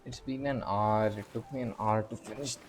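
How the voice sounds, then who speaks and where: A man's voice: fairly low pitch, steady tone, normal speed. A man giving casual, offhand gameplay commentary, muttering to himself as he plays. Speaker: gamer playing and commenting. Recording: game music behind.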